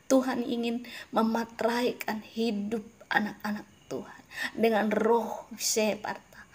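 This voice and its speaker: A woman's voice: mid-range voice, darkish tone, husky. A woman delivering a solemn prophetic message in God's voice, mixing in speaking in tongues and whispered passages. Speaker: prophetic preacher.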